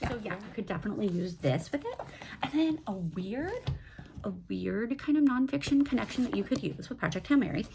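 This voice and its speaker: A woman's voice: fairly high pitch, expressive, brisk, creaky, warm tone, slight background noise. A woman talking off the cuff in a casual book-review vlog, rambling a little, cutting into her own sentences and putting questions to herself. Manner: rambling, self-interrupting, asks herself questions. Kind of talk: casual book-talk vlog, unscripted chat.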